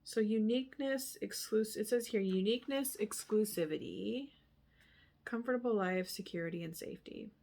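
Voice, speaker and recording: A woman giving a casual, rambling tarot reading in a candid, musing manner, sometimes trailing off into hushed asides. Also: mid-range voice, normal speed. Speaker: tarot reader.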